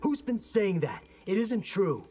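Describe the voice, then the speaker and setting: A man's voice: sits high, big pitch swings, unhurried, dark tone, faint music behind. An actor playing an earnest, determined young male ninja in a video game. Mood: awkward.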